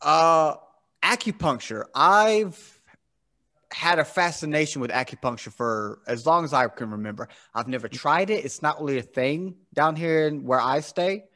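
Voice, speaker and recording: Chatty, enthusiastic higher-voiced male host. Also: moderate pace, sharp tone. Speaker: podcast host. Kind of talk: casual interview chat.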